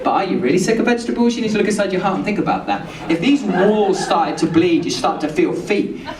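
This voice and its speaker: A man improvising a spoken-word poem in a small venue, rambling and playful with sudden shouts. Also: high voice, expressive, quick pace, a crowd in the background. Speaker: poet. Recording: echoey room from far off.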